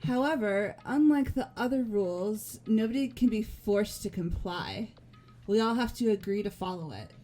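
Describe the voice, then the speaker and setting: A woman voicing a game character in a fan dub, calm and composed. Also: mid-range voice. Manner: calm, measured, composed delivery. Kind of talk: fan voice acting of a game script. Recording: faint music behind. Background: faint game music.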